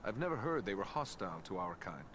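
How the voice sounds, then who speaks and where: Calm, sober fantasy adventurer. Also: male voice, low voice, quick pace, a little hiss or hum. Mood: calm, informative. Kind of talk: scripted fantasy game dialogue.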